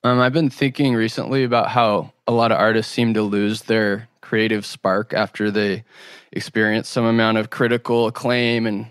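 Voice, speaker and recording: A man with a fairly low voice conducts a podcast interview. He puts his questions in a measured, tentative, conversational way, recorded dry with little room sound. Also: dry recording.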